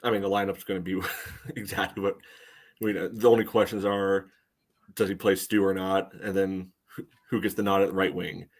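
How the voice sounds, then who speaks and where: A man with a fairly low voice co-hosts a sports podcast. His delivery is conversational and dry, with sardonic emphasis on his points. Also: level tone, moderate pace. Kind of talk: casual soccer discussion.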